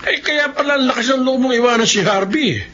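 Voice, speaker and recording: A man's voice: extremely high pitch, a little fast, pushed and bright. An actor playing an older father and grandfather who is blunt, chiding and doting, in a radio drama. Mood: scolding. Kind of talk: Filipino radio drama acting. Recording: dull lo-fi radio, faint noise.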